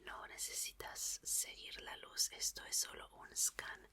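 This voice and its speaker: A woman playing a calm, caring young scientist who repairs an android, in a slow, soothing, breathy ASMR whisper. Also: lightly acted. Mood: focused, soothing. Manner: slow, soothing, repeating words softly. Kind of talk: whispered Spanish ASMR roleplay.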